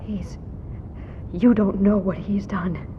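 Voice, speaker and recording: An actress playing a frightened, freezing survivor in an audio drama, pleading and shaken. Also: female voice, fairly even intonation, takes it slowly, close to an everyday voice. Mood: shaken, anxious. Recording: muffled, long reverb, background rumble.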